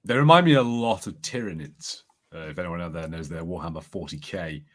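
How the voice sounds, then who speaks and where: A man's voice: low-pitched, fairly quick. A man taking part in a casual podcast discussion, speaking politely and a little tentatively. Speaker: podcast guest panelist. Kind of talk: casual pop-culture discussion.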